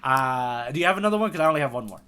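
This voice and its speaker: A man with a bright, sharp-edged voice co-hosts a manga readalong podcast. He speaks in loose, casual conversation. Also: mid-range voice, expressive, racing, clean tone. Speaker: podcast co-host.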